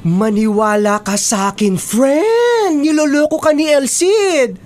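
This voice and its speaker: A woman's voice: high-pitched, animated, takes it slowly, a little mellow, faint music behind. A woman playing a nosy, supportive young best friend in a Filipino radio drama. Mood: urgent.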